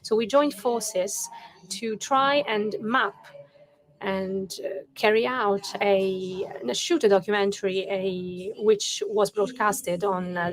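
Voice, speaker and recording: A woman's voice: medium pitch, rough recording. A woman moderating an online conference, speaking with many hesitant fillers. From Italy.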